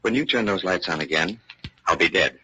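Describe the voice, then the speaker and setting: A man's voice: deep voice, animated, brisk, bright, forceful tone, heavily acted, low-quality recording. Jittery cowardly man, swooping voice. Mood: panicky. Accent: American. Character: jittery, cowardly young man, comically terrified. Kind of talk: old-time American radio drama acting. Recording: hissy vintage radio recording.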